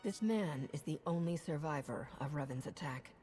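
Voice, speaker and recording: An earnest Republic hero. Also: female voice, low voice, lively intonation, normal speed, dark tone, faint music behind. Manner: earnest, direct, principled.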